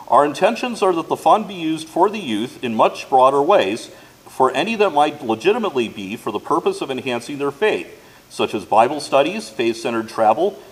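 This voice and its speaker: Male worship leader, voice a little on the high side, formal and measured, reading aloud to a congregation. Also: poor audio. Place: church during a service.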